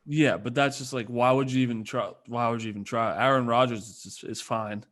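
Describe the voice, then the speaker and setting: Casual male podcast storyteller with a middling pitch. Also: steady tone, fairly quick, a bit bright. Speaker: podcast co-host. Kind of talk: casual sports podcast banter.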